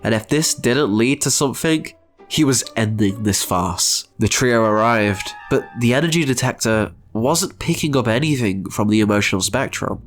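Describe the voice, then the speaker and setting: A man's voice: medium pitch, animated, normal speed. A man narrating a scripted, dramatic story as its narrator. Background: music.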